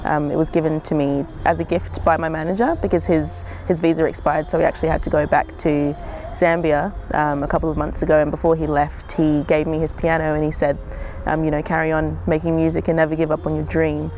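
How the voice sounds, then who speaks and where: A young woman from Zimbabwe, a singer-songwriter, speaking reflectively in an interview, often pausing with "um" and "sort of". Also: sits low, little pitch movement, a little mellow, a little hiss or hum. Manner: thoughtful, hedging with frequent "um" and "sort of".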